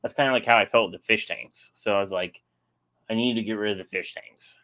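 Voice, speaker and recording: A man's voice: on the low side, fairly even intonation, fast talker, fairly bright tone. A young man casually hosting a live stream, rambling and answering chat, his voice getting sore.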